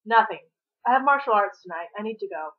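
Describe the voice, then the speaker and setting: A woman reading the part of a grumpy, guarded man who speaks in a terse, flat mutter. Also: medium pitch, a little fast, phone-quality sound, close to an everyday voice. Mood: curt, withdrawn. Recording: thin, band-limited home recording.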